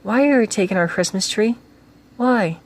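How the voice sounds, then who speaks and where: A man reading aloud and voicing a tiny innocent girl of two who asks slow, pleading questions. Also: high-pitched, voice pushed up.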